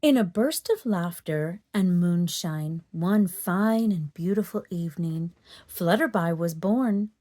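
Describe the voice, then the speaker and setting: A woman storyteller narrating a children's book in an expressive, dramatic read-aloud manner. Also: lowish voice, slow pace. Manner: dramatic, animated delivery for children.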